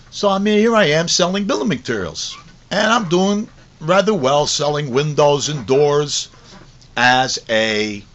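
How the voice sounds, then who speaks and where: A man's voice: a little high, a bit bright. A mature man giving an autobiographical teaching talk in a conversational storytelling way, repeating phrases for emphasis. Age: middle-aged or older. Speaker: course teacher. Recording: dull lo-fi recording.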